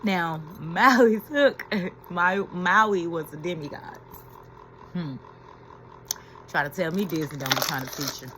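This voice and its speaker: A woman's voice: fairly low pitch, slow pace, long pauses, noisy background. A woman giving a reflective inspirational talk as a spiritual healing coach, repeating key phrases and laughing as she retells a story.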